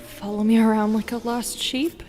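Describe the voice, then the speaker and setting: A woman playing a lonely, wounded and wary Medusa in an intimate audio roleplay, speaking hesitantly and softly, often dropping into a whisper. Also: a little high, little pitch movement, natural voice. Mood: shy, disbelieving. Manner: hesitant, halting, soft and breathy.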